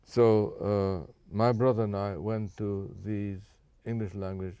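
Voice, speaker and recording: An older man born in Japan, answering interview questions in a measured, careful way with frequent fillers and restarts. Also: on the low side, steady tone, dark tone, smooth, dry recording, lo-fi sound. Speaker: guest.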